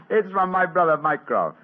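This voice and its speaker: An actor playing a shrewd, courteous British gentleman detective in a polished, theatrical old radio drama style. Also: a little high, husky, heavily acted. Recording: muffled, lo-fi vintage radio sound.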